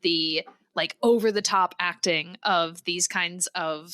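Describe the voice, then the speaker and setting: A woman's voice: lowish voice, fairly even intonation, measured pace, bright, forceful tone, no room sound. A woman co-hosting a podcast in casual chat, with a playful, joking way of talking. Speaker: podcast co-host.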